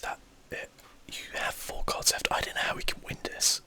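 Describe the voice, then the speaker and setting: A man whispers breathy, unvoiced ASMR game commentary, musing to himself as he thinks aloud. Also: normal speed, bright, forceful tone. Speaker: gameplay streamer, host.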